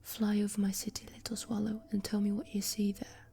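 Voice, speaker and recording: A woman voicing a kind, sorrowful male statue prince in a soft whisper. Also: medium pitch, completely flat delivery, fairly quick, breathy edge, soft background music. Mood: solemn.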